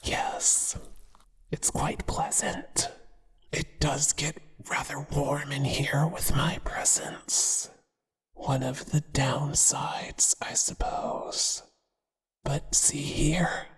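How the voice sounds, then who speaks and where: A performer voicing a possessive, obsessive male spider monster, tender toward his mate and quietly menacing, in a breathy whisper for a horror ASMR roleplay. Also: mid-range voice, flat delivery, full character voice. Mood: smug, affectionate menace. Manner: slow, deliberate, menacingly affectionate. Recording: hushed whisper with long reverb.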